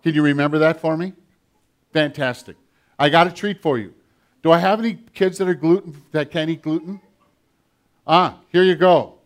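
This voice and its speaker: An older man preaching a sermon with a children's message, animated and storytelling with a big voice. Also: a little high, normal speed, long pauses.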